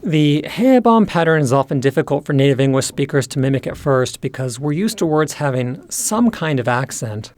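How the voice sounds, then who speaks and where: A man's voice: mid-range voice, darkish tone, no room sound. Man teaching with very dry, close sound. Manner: measured, explanatory, enunciates key terms. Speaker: teacher presenting a language lesson. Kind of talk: scripted instructional narration.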